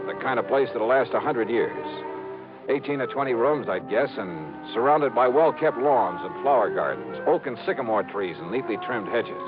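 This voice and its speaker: A man's voice: mid-range voice, lightly acted. An actor playing a dry, wisecracking male insurance investigator who narrates a 1950s radio drama, on a dull old broadcast recording with music. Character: wry hard-boiled male insurance investigator. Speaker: narrator and lead.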